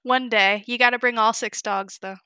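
A woman's voice: a little high, steady tone, normal speed, pushed and bright, clear, resonant tone, dry recording. A woman in her twenties, a guest on an interview, joking playfully and giggling often as she explains her consulting work. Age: 20s. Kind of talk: casual interview chat.

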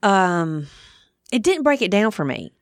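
A woman's voice: mid-range voice, big pitch swings, a little slow, no room sound, studio quality. A woman in her thirties or forties hosting a podcast in a casual, frank way, thinking aloud as she goes. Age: 30s to 40s. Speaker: podcast host. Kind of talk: casual conversational podcast chat.

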